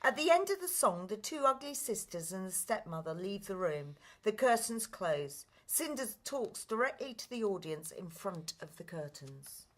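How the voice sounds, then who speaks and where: A woman's voice: medium pitch, very animated, moderate pace, few pauses. A woman reading scripted stage directions as the narrator of a radio play.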